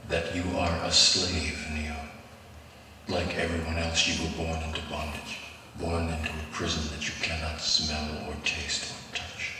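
An actor playing a calm, grave mentor who speaks in slow, measured, solemn tones, heard as a film clip over hall speakers. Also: male voice, very deep voice, recorded from a distance, slight background noise, heavily acted. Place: large conference hall.

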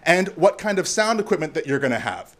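A middle-aged man from Canada giving a loud, gregarious live talk to a crowd in a bar, joking and swearing as he goes. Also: fairly high pitch, big pitch swings, a little fast. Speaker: conference speaker. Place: bar venue before live audience.

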